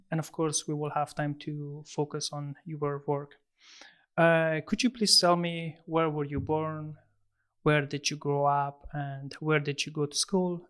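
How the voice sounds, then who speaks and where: A man's voice: fairly high pitch, little pitch movement, normal speed, a bit bright, clear, resonant tone. A man hosting a podcast interview, politely asking questions and encouraging his guest. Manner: polite and encouraging, asks in long strings of questions. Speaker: podcast host.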